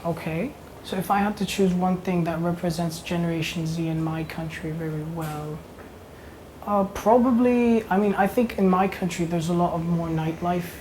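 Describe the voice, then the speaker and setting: A man's voice: high-pitched, moderate pace, a little mellow, slight background noise, rough recording. A young man from Italy speaking as a panelist in a casual round-table discussion, hesitant and searching for words.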